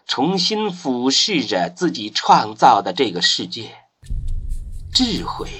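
A man narrating an audio drama as a solemn, hushed storyteller. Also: mid-range voice.

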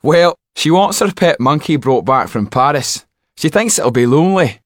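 A man's voice: fairly high pitch, expressive, normal speed, dry recording, clean studio sound, voice pushed up. A man voicing a gossiping footman in an audiobook, amused and chatty.

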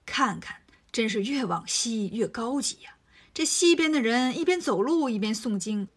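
A woman's voice: fairly high pitch, animated, normal speed, slightly rough. Woman voicing a naive preachy monk. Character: gullible soft-hearted monk master, male, fussy.

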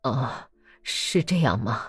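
A woman voicing a terse, grieving male Cossack officer, dropping her voice low and subdued. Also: lively intonation, takes it slowly, husky, no echo, soft background music, exaggerated voice. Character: grieving curt Cossack officer, middle-aged man.

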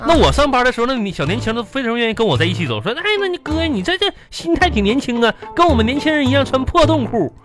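A man's voice: very high-pitched, sing-song, very fast, hardly any pauses, over music. A man acting an aggrieved, whiny henpecked husband in a radio comedy, with a Northeastern Chinese accent and stammering, pleading indignation. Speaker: radio comedy host and performer.

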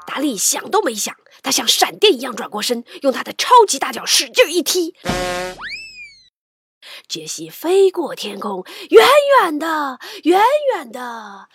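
A woman narrating a children's audio drama in an expressive, dramatic storytelling manner. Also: a little high, a little hiss or hum. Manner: dramatic, sometimes hushed storytelling. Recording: music behind the voice.

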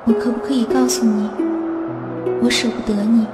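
A woman plays a lovelorn little female sock in a fairy-tale audio drama. Her fairly high voice is soft and plaintive, trailing long reverb over clearly audible music. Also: flat delivery, normal speed, close to an everyday voice. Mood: wistful longing. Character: wistful, lovelorn young female left-foot sock. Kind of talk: fairy-tale radio drama inner monologue. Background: clear background music.

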